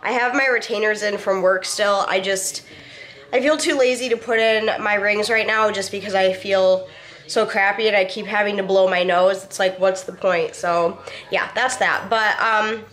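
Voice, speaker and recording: A woman's voice: mid-range voice, steady tone, normal speed, a bit bright. A young woman with a cold and a congested, nasal voice, chatting casually to the camera from her living room couch, rambling and swearing now and then. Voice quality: nasal, stuffy. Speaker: vlogger. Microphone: handheld camera close to the face.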